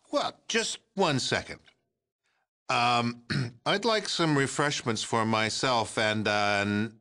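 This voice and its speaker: Video game character: a pompous older President of a post-war America. He has a bright, forceful American voice at an ordinary male pitch. His delivery is blustering and theatrical, that of a self-important, deluded politician. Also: full character voice. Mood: flustered, placating.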